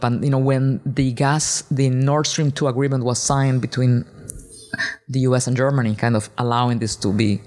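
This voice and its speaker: A man's voice: medium pitch, darkish tone. A man with a mid-range voice speaks as the expert guest in an interview. He explains points at length, with a few fillers, and agrees readily with his host. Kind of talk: casual academic interview.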